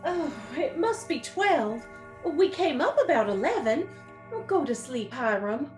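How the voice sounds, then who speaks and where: A woman's voice: high voice, expressive, soft background music. A woman playing a fussy, commanding wife and mother, easily alarmed, in a theatrical radio play style. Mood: dismissive. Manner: bossy, brisk orders, theatrical.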